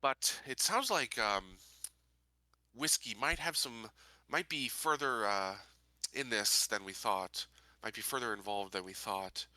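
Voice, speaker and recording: A man playing a tabletop roleplaying game, talking casually and deliberately with frequent hesitant pauses. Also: on the low side, bright, forceful tone, no room sound. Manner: deliberate, hesitant, pausing often.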